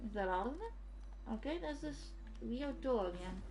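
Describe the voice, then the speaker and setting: A woman's voice: fairly high pitch, expressive, measured pace, a little mellow, faint music behind. Woman streamer, casual gameplay commentary. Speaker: gaming streamer.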